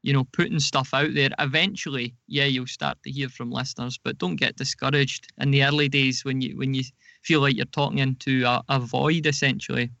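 A man with a bright, forward voice talks as an interview guest on a podcast. He explains in a conversational way, doubling back on his words. The sound is very dry and a little dull. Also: medium pitch, fairly even intonation, fairly quick, vocal fry, no room sound.

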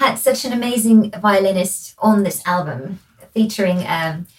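A woman's voice: medium pitch, moderate pace, poor audio. A woman from Finland, a guest singer, speaking fluent English in a modest, light way. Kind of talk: casual interview chat.

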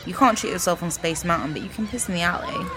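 A woman acting as a dry, long-suffering radio producer in a comedy radio play, deadpan and exasperated. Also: lowish voice, fast talker, background noise, close to an everyday voice.

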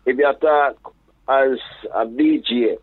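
A man from England with a Jamaican patois accent mixed with London speech, a reggae DJ chatting as an interview guest in a relaxed, halting way. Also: mid-range voice, slightly rough. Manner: relaxed, halting, restarts phrases. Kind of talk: casual interview chat. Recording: phone-like narrow sound.

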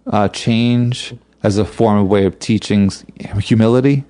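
A man's voice: lowish voice, steady tone, measured pace, dark tone, clear, resonant tone. A man hosting a podcast, asking a guest friendly, curious questions in a casual chat. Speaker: podcast host.

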